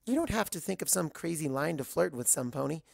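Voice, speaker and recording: A narrator voicing a friendly, chatty waitress pony who gently reassures and encourages in a read-aloud story. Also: medium pitch, expressive, fairly quick, gravelly, no echo, studio quality. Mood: reassuring, chatty.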